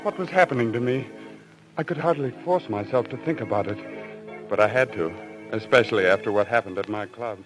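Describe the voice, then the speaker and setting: A man's voice: medium pitch, fairly quick, lightly acted, rough recording. An actor playing a haunted, guilt-ridden man losing his mind to ape-madness, in a brooding, melodramatic old-time radio style. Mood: brooding dread. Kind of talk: old-time radio thriller acting, first-person narration. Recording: vintage radio recording with music.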